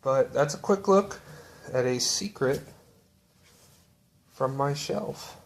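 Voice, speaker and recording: A man showing off books from his shelf in a relaxed, rambling way with lots of fillers. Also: mid-range voice, slow. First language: English. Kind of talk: casual show-and-tell.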